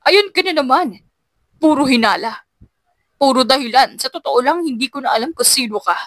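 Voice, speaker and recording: A woman's voice: high-pitched, expressive, normal speed, a bit bright, no echo. Woman playing an angry, bitter accusing boyfriend. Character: angry young man, jealous accusing boyfriend. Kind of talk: audiobook romance melodrama.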